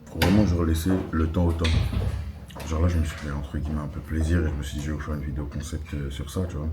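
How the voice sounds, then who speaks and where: A man's voice: rumbling low, level tone, quick pace. A young man in his twenties with a French accent, vlogging casually in an airport lounge, slangy and chatty, talking straight to his viewers. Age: 20s. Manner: slangy, chatty, addresses viewers as "les gars". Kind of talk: casual vlog talk to camera. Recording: noisy airport ambience.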